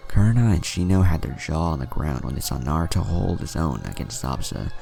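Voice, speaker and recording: A man with a deep, gravelly voice reading a scripted story as a narrator, audiobook style. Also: animated, brisk, mellow, soft background music. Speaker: narrator reading fan fiction.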